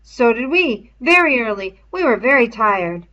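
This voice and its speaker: A woman's voice: on the high side, very animated, unhurried, bright, forceful tone, muffled recording, pitched above the performer's own voice, heavily acted. A woman voicing a sheepish, evasive twin schoolboy in a storybook, nervously repeating himself. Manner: stifled, nervously repetitive. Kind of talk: storybook read-aloud character voice.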